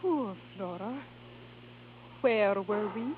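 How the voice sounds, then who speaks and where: A scratchy old radio play with a thin, tinny sound. a glamorous opera diva and estranged wife, teasing and theatrical. Her high voice swoops dramatically as she speaks. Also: expressive, extremely slow. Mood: tender, playful. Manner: theatrical, coquettish. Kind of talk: 1930s radio acting.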